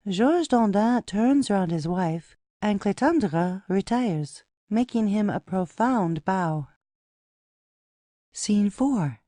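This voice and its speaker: A woman's voice: medium pitch, animated, takes it slowly, warm tone, dry recording. A woman narrator reading out stage directions as scripted narration for an audio play.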